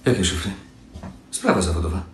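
Evasive young conductor husband: low-ish voice, slippery excuses, roomy home-room sound. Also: male voice, very animated, a little fast, background noise. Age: young adult. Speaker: sleeping-car train conductor. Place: room at home, recorded on phone.

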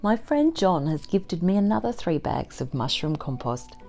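A middle-aged woman giving a calm, measured voice-over about her homestead, with soft background music. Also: fairly low pitch. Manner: gentle, measured and matter-of-fact. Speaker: homesteading vlogger. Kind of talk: calm scripted voice-over narration. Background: faint instrumental music.

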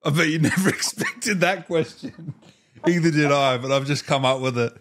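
A man's voice: on the high side, moderate pace, fairly bright tone. A man in his thirties hosting a casual interview, teasing and playful, speaking close to a desk microphone. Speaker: interview host. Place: small indoor streaming room.

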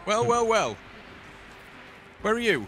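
A young man playing a co-op game, excitable and joking with mock outrage. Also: sits high, slow pace, a crowd in the background. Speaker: co-op gaming YouTuber guest. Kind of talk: casual co-op gameplay chat.

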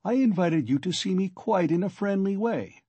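A male narrator plays a sly examining lawyer from a novel. The voice sits fairly high, with a soft husky edge and a very dry, close sound. He is ironical and teasing, full of mocking good humor. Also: lively intonation, moderate pace, no room sound, exaggerated voice. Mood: playful, gloating. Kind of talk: dramatic audiobook character reading.

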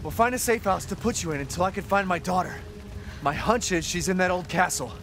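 A man's voice: high voice, animated, brisk, a bit bright, slight background noise. An actor playing an urgent, reassuring, protective father in a cinematic video game. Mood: firm, reassuring. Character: determined protective father trapped in a burning village.

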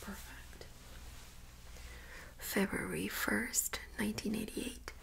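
A young woman speaking softly, mostly in a whisper, gently giving instructions in an ASMR medical role-play. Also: mid-range voice, slow, gravelly. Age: young adult. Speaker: ASMR creator playing a medical examiner. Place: small indoor room set up as exam room.

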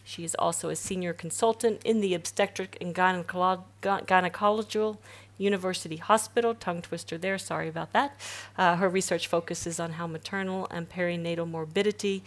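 A middle-aged woman moderating a stage panel, introducing speakers in a smooth, practiced way. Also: lowish voice, fairly even intonation, brisk. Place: stage in a hall with audience.

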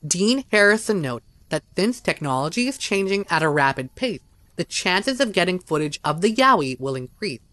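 A narrator reading a scripted documentary story in a measured, even delivery. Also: mid-range voice, no room sound.

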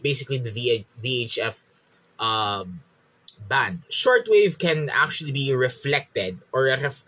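A man's voice: mid-range voice, bright, forceful tone. A man in his thirties with a Filipino accent, a native Filipino speaker, explaining things casually and searching for words as he goes. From Philippines. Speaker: podcast host and radio hobbyist reviewer. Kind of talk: casual explanatory vlog, code-switching. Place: small room at home.

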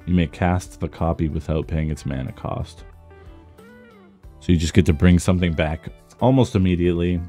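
A man speaks in a very deep, dark-toned voice that is a little rough from heavy use. He gives casual, off-the-cuff commentary while opening trading card packs. Guitar music plays behind him. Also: normal speed. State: slightly rough voice from talking a lot. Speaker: trading card content creator.

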